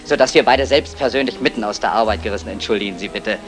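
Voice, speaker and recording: A man playing a smooth-talking crook with a wordy, florid manner in a retro German radio crime play. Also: on the low side, fast talker, natural voice. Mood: unctuous, effusive. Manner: effusive, florid, wordy politeness. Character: smooth-talking fast-bluffing male crook posing as soap salesman. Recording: retro radio play, faint music behind.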